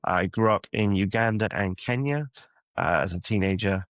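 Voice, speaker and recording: A man's voice: fairly low pitch, normal speed, fairly bright tone, no echo. A man from the London area, a church youth ministry worker, answering interview questions in a thoughtful, hesitant way with many ums. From England (London area). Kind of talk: podcast interview guest.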